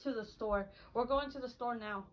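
Woman acting a halting, high-voiced flustered girlfriend. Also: close to an everyday voice. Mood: reassuring, bossy. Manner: halting, repetitive, hesitant. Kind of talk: ASMR girlfriend roleplay. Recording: dull lo-fi audio with faint noise.

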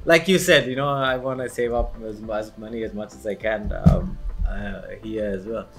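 A man's voice: on the low side, steady tone, normal speed, faint music behind. A young man from Sri Lanka hosting a podcast interview, talking in a casual, hesitant way with many fillers and restarts, and laughing easily. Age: young adult. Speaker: podcast host.

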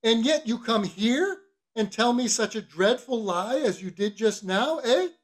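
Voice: mid-range voice, lively intonation, measured pace. A reader voicing a bossy, mocking whaling-ship officer in an audiobook, questioning with teasing mock solemnity. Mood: bossy, scolding. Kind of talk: literary audiobook character reading.

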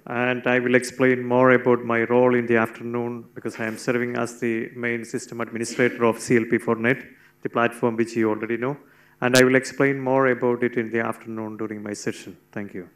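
A man gives a formal, steady introduction. His pitch stays even and flat in a mid register, and he speaks into a handheld microphone in a seminar room. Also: a little fast. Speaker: IAEA system administrator. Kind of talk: self-introduction. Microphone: handheld mic.

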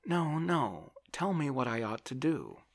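A man's voice: medium pitch, very animated, unhurried, pitched above the performer's own voice. A man voicing a fretful, indolent, helpless landowner in an audiobook, plaintive and dithering as he protests. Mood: fretful, alarmed. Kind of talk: audiobook character voice.

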